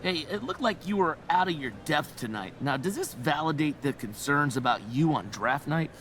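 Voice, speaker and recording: An actor playing a pushy, smug sports reporter, asking probing, insinuating interview questions in a video game scene. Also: male voice, a little high, sing-song, moderate pace, fairly bright tone, slight background noise. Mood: provocative, smug.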